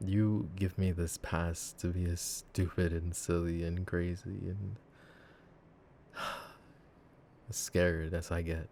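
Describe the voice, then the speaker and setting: A low, gravelly-voiced boyfriend, acted by a man in an intimate audio roleplay. He is affectionate and silly yet earnest, rambling to his partner in halting, tender phrases. A noticeable layer of background noise sits under the voice. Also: flat delivery, darkish tone.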